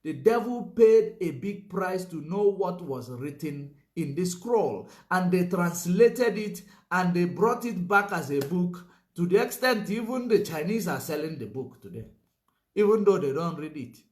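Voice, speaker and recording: Emphatic high-voiced man. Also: animated, a little slow, echoey room. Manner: emphatic, repeats points, many "you know" fillers. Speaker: commentator. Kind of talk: political commentary monologue.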